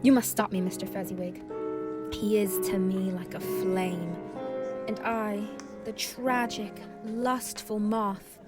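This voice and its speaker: A woman playing a melodramatic, lovelorn, fretful woman with theatrical pauses in a comic radio play. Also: mid-range voice, fairly even intonation, over loud music, natural voice. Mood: lovelorn, dramatic.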